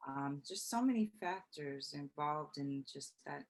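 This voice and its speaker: A woman's voice: low-pitched, expressive, measured pace. A woman hosting an interview, asking questions in an easygoing, encouraging way. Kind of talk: casual interview chat.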